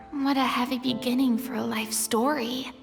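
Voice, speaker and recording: A woman voicing a bubbly, expressive young woman in an anime-style game, an enthusiastic and dramatic friend. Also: sits high, a little slow, gravelly, soft background music. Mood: shocked, concerned. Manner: expressive, exclamatory.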